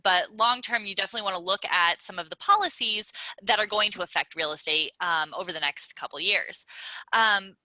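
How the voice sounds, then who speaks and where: A woman co-hosts a business podcast in a loose, conversational way, often pausing on "um" as she frames her questions. Her voice is very bright and edged, and it is recorded dry and close with no room sound. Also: mid-range voice, no echo, rough recording. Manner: conversational, many "um"s, guiding questions. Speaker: podcast co-host.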